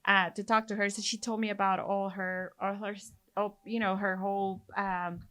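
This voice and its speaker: A woman's voice: mid-range voice, level tone, normal speed, a bit bright. A woman from Mexico with a Mexican Spanish accent, hosting a podcast and telling stories in casual chat, full of fillers. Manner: chatty, storytelling with many fillers. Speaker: podcast host.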